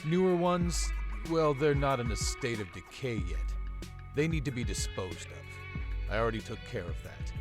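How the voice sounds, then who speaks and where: Man playing a grave, blunt professor, varied pitch. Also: expressive, moderate pace, music in the background, close to an everyday voice. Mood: matter-of-fact. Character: grave, secretive male professor and vampire hunter. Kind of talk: visual novel character reading.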